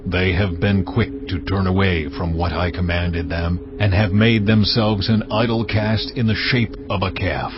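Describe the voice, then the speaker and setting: An actor voicing the Lord as a stern, wrathful deity who speaks in a solemn, measured way in a dramatized scripture reading. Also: male voice, sits low, steady tone, over music. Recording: dull lo-fi audio with loud background score.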